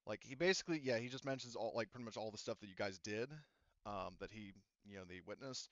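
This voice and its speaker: Man, low-pitched, clean resonant voice, GM who rambles with lots of ums; dry muffled recording. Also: fairly bright tone, smooth, dry recording. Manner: rambling, many fillers and restarts. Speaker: game master. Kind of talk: narrating a tabletop game.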